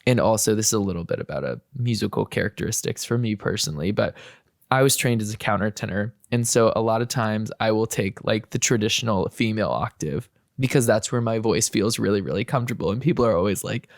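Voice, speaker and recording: Guy hosting a podcast, gravelly voice, dry close sound, rambly and friendly. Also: lowish voice, quick pace, no echo. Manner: chatty, hedging, many fillers and restarts. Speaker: podcast host. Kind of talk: casual interview chat.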